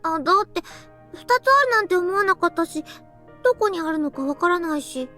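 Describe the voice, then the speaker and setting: A woman's voice: very high-pitched, animated, very fast, pushed and bright, faint music behind, heavily acted. A woman playing a cheerful, childlike, eager younger sister in a Japanese visual novel. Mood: defensive.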